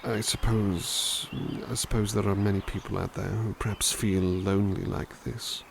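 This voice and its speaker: A man playing a gentle, kindly butler who softly reads poems aloud in an ASMR roleplay and muses thoughtfully on each one. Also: lowish voice, steady tone, moderate pace, creaky, background noise. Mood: musing. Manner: soft, musing, thoughtful asides between poems. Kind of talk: ASMR roleplay poetry reading.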